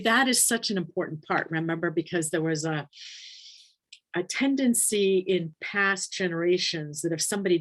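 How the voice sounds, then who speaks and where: Low-voiced woman nurse educator, chatty. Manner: warm conversational, many ums, thinks aloud. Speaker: palliative care nurse educator. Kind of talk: casual webinar teaching, reading chat questions.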